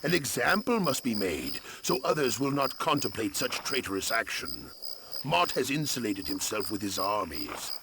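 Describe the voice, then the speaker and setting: An actor playing a cold, scheming necromancer war commander, narrating a game cutscene in a theatrical, commanding, gravelly voice. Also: male voice, on the low side, sing-song, normal speed, background noise. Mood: stern command. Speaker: campaign briefing narrator. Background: music.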